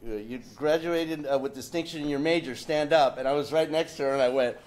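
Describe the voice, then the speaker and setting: A man on a discussion panel telling a lively personal story in a casual, animated way. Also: fairly high pitch, brisk.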